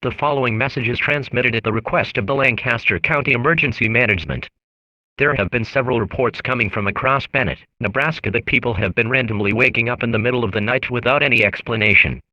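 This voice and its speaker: A man reading scripted emergency alerts and weather bulletins as a radio announcer, in a flat, official, impersonal manner. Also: mid-range voice, no echo, highs cut off.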